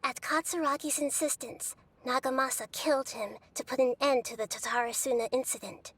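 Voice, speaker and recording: A woman voicing a serene, wise female guide in a video game, telling history in a measured, formal way. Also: sits high, fairly bright tone, slight background noise. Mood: solemn. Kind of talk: composed scripted exposition, game voice acting.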